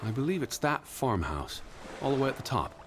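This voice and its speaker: An actor playing an earnest, cautious man traveling in the 1920s, speaking in a measured, formal, dry way in a video game. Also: mid-range voice, big pitch swings, music in the background.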